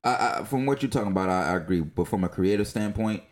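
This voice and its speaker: A man with a loud, booming voice hosts a podcast and talks quickly in a fairly low register. He is emphatic and keeps going at length in loose, casual conversation. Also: lively intonation. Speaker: podcast co-host. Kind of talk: casual chat.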